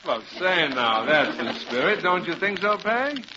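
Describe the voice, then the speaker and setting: A blustering, high-pitched radio husband. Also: male voice, big pitch swings, unhurried. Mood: boastful. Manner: bumbling, boastful, defensive. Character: blustering middle-aged male English professor and husband. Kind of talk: vintage radio sitcom acting. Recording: muffled old radio recording, heavy noise.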